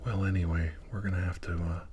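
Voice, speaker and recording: A man playing a calm, caring 1940s army doctor in an ASMR role-play, murmuring hesitantly and often dropping to a whisper. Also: low voice, quick pace, natural voice. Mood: urgent, reassuring. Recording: noisy recording with clicks.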